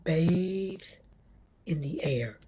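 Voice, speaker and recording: A woman leading a guided meditation as instructor, speaking gently in short, measured phrases with a breathy, husky tone. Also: on the low side, expressive.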